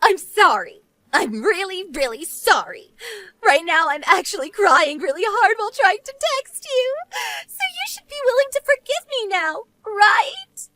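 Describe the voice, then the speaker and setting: A woman's voice: very high voice, very animated, measured pace, sharp tone, dry recording, heavily acted. A woman playing a spoiled, arrogant banker's wife in melodramatic scripted story dialogue, desperate and whiny. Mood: panicked. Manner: whiny, haughty, pleading.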